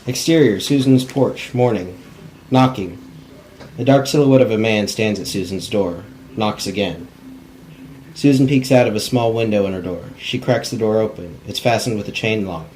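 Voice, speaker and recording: A man's voice: lowish voice, normal speed, darkish tone, low-quality recording. A man narrating stage directions in a plain, unpolished cold reading of a script. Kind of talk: scripted narration at a table read. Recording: faint background noise.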